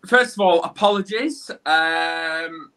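Croaky-voiced man hosting a video call. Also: a little high, slow, pushed and bright. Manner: chatty, loose, stumbling over his words. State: croaky voice from a cold. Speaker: host. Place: room with a green screen.

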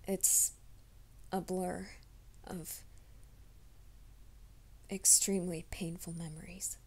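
A woman voicing a troubled young man who hides a werewolf nature, in a soft, hushed ASMR roleplay, often whispering. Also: fairly low pitch, flat delivery, takes it slowly, slightly rough, heavily acted. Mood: anxious whisper. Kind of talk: fan audio drama, ASMR roleplay.

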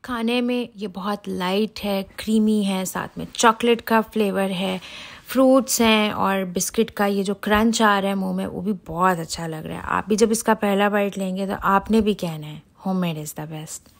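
A woman's voice: medium pitch, fairly even intonation, moderate pace, gravelly. Chatty woman giving recipe instructions. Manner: chatty, addresses viewers directly. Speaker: home cooking channel host. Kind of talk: casual recipe voice-over tutorial.

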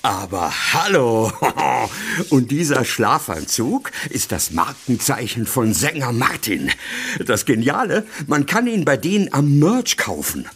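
An actor playing a cheery, relaxed middle-aged organic-farmer dad in a German audio drama. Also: male voice, medium pitch, unhurried, slightly rough, heavily acted. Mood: proud, cheerful.

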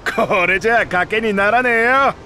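A hearty ship's sailor with a high, bright, forceful voice. He is easygoing and rough-spoken, like a dockhand. Faint noise hangs behind him. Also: normal speed, exaggerated voice. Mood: jovial.

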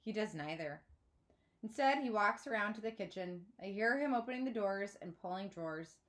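A woman's voice: medium pitch, lively intonation, normal speed, a bit bright. A woman in her thirties narrating a children's book expressively, like a teacher reading aloud. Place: small indoor room at home.

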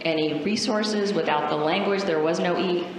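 A Cuban-born woman, native in Spanish, speaks emphatically as a panelist, putting questions to her listeners. Her voice is a little low. The big hall adds heavy reverb and faint noise. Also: moderate pace. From Cuba, moved to the United States. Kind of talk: personal story to an audience. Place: large auditorium stage. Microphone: handheld microphone.

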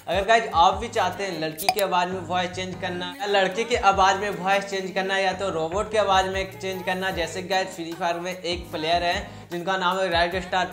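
A young man speaks in a high, bright voice as he walks viewers through a tutorial. He is informal and repetitive, constantly addressing his audience. The room adds noticeable echo. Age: 20s. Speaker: YouTube host, gaming tech tutorial presenter. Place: small indoor room. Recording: roomy echo, faint music behind. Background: music.

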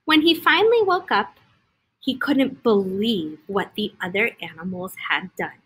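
A woman in her thirties reading a picture book aloud to young children, expressively, pausing to point out pictures with simple comments. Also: medium pitch, expressive, fairly bright tone, slightly rough. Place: indoor school classroom. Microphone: laptop on a video call.

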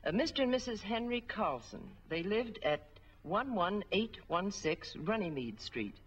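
An actress playing a businesslike middle-aged records official, formal and precise, in a 1940s film. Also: female voice, medium pitch, animated, a little slow, slightly rough. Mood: businesslike, regretful. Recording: muffled 1940s film soundtrack.

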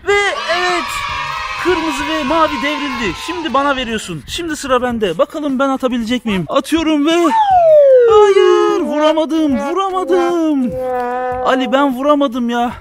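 High, lively uncle calling a kids' game. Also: male voice, normal speed. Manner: animated sportscaster-style narration, jumping from hushed to excited. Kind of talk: playful play-by-play for a children's channel. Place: outdoor paved courtyard. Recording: music playing loudly under the voice. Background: loud background music.

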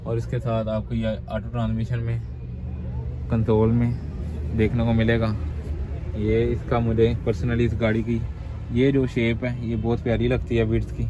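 A Pakistani man gives walk-around commentary on used cars in a level, middle-pitched voice. His patter is repetitive and sprinkled with English words. The sound is slightly dull, with clear outdoor traffic noise around him. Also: completely flat delivery, unhurried. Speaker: host of a used-car market video. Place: outdoor car market.

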